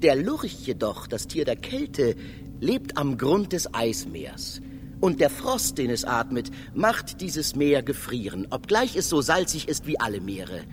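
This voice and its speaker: An actor playing a mysterious, learned philosopher who lectures in a declamatory way in a radio drama. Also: male voice, medium pitch, big pitch swings, measured pace, noisy background. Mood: didactic. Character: mysterious philosopher double, male, learned and oracular.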